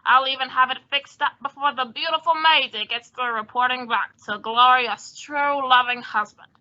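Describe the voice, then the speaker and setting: A woman's voice: high voice, fairly even intonation, normal speed, pushed and bright. A woman playing a sly, sardonic accomplice in a con, wry and knowing, in a radio comedy. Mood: wry, smug. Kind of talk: 1950s radio comedy acting. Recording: dull old-time radio recording.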